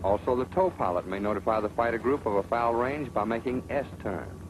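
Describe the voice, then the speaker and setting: A man's voice: mid-range voice, fairly quick. A man narrating a military training film with a stern, scolding, instructive manner. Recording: old film soundtrack with hiss.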